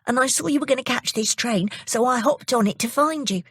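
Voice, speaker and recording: An earnest little boy explaining himself in a rush, raised and worried, as a storyteller plays him. The voice sits close and dry, a touch husky. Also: on the high side, a little fast, fairly bright tone, dry recording, voice pushed up. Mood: anxious. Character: anxious earnest young boy.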